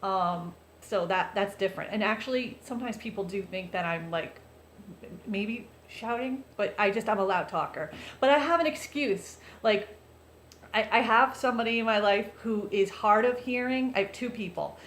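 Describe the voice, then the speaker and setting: A loud-voiced woman speaks to her viewers in an earnest, chatty, confessional way. Her voice carries through a roomy space with a faint hiss underneath. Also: medium pitch, moderate pace. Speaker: vlogger. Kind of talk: casual confessional talk to viewers. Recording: roomy echo, faint background noise.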